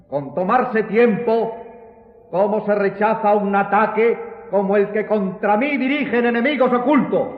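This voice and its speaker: A man playing a proud, indignant nobleman who defends his honour in grandiloquent, declamatory radio drama style. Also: extremely high pitch. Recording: echoey hall, thin narrow-band sound.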